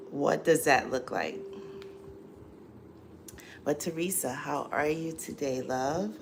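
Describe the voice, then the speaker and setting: A woman's voice: very deep voice, creaky, noisy background. A woman hosting a live stream in casual chat, greeting viewers with many ums, stuffy from allergies and with a dry throat. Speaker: livestream host and coach.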